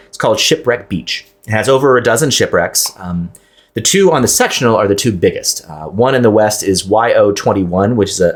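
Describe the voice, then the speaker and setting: A man who is a private pilot, narrating his own flight video in a casual, halting, self-deprecating way. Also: low-pitched.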